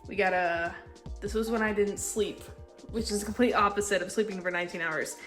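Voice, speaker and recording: A young woman with a congested, stuffy voice, casually touring her sketchbook in a tired, low-key way and swearing now and then. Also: steady tone, a little fast, fairly bright tone, faint music behind. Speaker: artist showing a sketchbook.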